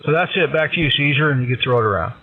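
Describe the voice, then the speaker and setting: A man's voice: medium pitch, expressive, very fast, husky. A man giving casual technical information over a tinny amateur radio transmission.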